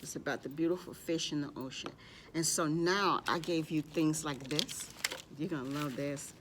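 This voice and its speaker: A woman's voice: low-pitched, normal speed, noisy background. A woman hosting a children's library storytime, speaking to young children as she tells stories and shows crafts, often referring to herself in the third person.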